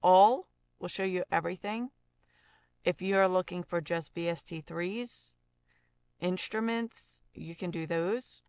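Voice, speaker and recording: A woman narrating a casual software tutorial as an audio instructor, explaining informally and thinking aloud. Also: lowish voice, vocal fry, clear, resonant tone, no room sound.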